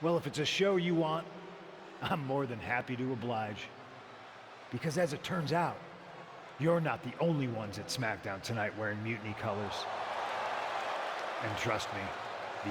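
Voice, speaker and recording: A man's voice: mid-range voice, a little slow, pauses often, a loud crowd, close to an everyday voice. Man acting a cocky rebel wrestler, noisy arena. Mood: menacing. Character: cocky, menacing rebel wrestler, disdainful of his imitators. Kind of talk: wrestling promo.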